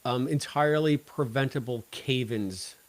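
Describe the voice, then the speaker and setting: Male podcast co-host, average pitch, wry and joking in casual review chat. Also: measured pace. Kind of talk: casual review chat about an audio drama.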